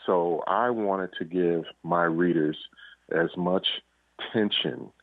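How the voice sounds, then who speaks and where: A low-pitched man speaks as an interview guest over a narrow, phone-like line. He answers casually and haltingly, in short phrases with pauses between them. The sound is dry, with no room echo. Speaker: interview guest, crime fiction author and police officer.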